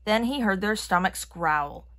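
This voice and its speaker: A woman reading a children's picture book aloud in an expressive manner. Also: mid-range voice, a little slow. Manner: expressive, acting out characters' lines. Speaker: storybook reader.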